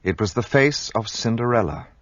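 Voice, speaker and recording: An actor narrating in the first person as a gentleman, measured and storytelling. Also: medium pitch, lively intonation, no room sound, natural voice. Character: English gentleman narrator, looking back. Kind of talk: radio play narration. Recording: lo-fi recording with music behind.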